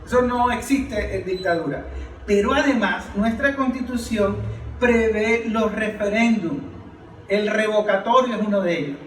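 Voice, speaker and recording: A man's voice: high voice, unhurried, roomy sound, far from the mic, a little hiss or hum, poor audio. A man giving impassioned political commentary as a podcast panelist, emphatic and declamatory, repeating phrases for force.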